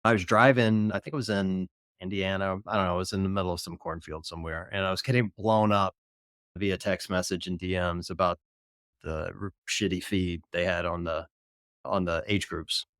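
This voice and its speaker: A man's voice: sits low, fairly even intonation, normal speed, a bit bright, clean tone, dry recording, clean studio sound. A man hosting a podcast, chatting in a rambling, laid-back way with his guest. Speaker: podcast host. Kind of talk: casual chat.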